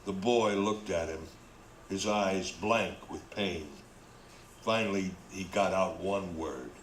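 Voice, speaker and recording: A man's voice: deep voice, slow pace. A man reading scripted narration for a radio play. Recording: roomy, faint background noise.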